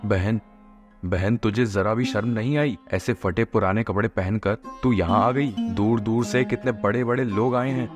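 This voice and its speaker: A man's voice: fairly low pitch, fairly even intonation, moderate pace, faint music behind, natural voice. A man playing a proud, status-conscious rich brother in a moral-story cartoon, with a haughty, scolding manner. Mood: stern, ashamed.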